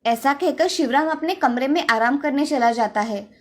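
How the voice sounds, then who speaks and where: A woman's voice: high voice, normal speed. A woman narrator reading a scripted moral story for children.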